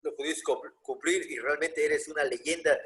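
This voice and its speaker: A man's voice: on the high side, steady tone, normal speed, a bit bright, husky. An older man, hosting a casual interview with chatty, theatrical questions.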